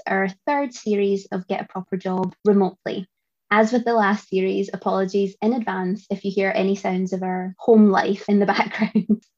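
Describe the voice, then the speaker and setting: A woman hosts a podcast from home, introducing guests with a bright, upbeat delivery. Her pitch sits in a middle range. The recording sounds dull and lo-fi. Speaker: podcast host. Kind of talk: scripted podcast introduction and interviewing.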